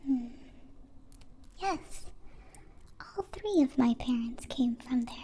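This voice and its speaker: A woman playing a gentle, curious young alien in an ASMR roleplay, mostly whispering in slow, halting, formal phrases. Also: high-pitched, animated, slight background noise. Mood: calm, matter-of-fact. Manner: slow, halting, formal wording without contractions. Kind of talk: whispered ASMR roleplay, close personal attention.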